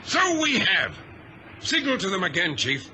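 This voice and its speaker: An actor playing a steady, commanding ship's captain in an old radio drama, speaking crisply and with authority. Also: male voice, high-pitched, unhurried, full character voice. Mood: relieved, determined. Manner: crisp, authoritative, clipped orders. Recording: hissy vintage radio recording.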